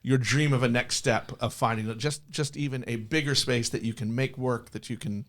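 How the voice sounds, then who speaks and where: A man's voice: medium pitch, steady tone, moderate pace, a bit bright. American man hosting a casual interview. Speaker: podcast host.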